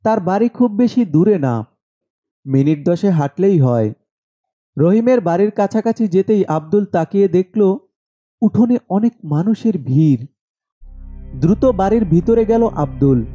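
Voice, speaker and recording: A man's voice: high voice, mellow. A man narrating a scripted horror story as the storyteller.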